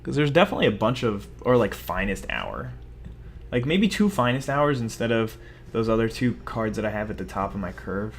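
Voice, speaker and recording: A young man with a somewhat low voice talks through a digital card game as he plays. He rambles and mocks himself in a loose, chatty way. A faint hum of room noise sits under his voice. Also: lively intonation, a little fast. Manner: rambling, self-mocking, chatty. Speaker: gaming video host. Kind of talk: casual gameplay commentary.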